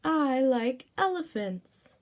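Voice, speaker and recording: A woman voicing an enthusiastic big bird in a children's storybook, with emphatic, drawn-out words. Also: high voice, big pitch swings, voice pushed up, exaggerated voice. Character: big bird who loves big things, enthusiastic.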